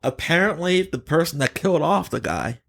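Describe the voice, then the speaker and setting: A man hosting a podcast, chatting casually and giving opinions in an animated, emphatic way. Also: on the high side, brisk, gravelly. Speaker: podcast host.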